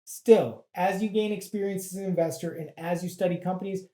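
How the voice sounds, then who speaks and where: A presenter with a soft, mellow tone explains things step by step in a measured, plain-spoken manner. The pitch sits in a middle range. The sound is noticeably roomy, with echo around the voice. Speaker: presenter of an investing guide. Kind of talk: explanatory educational narration.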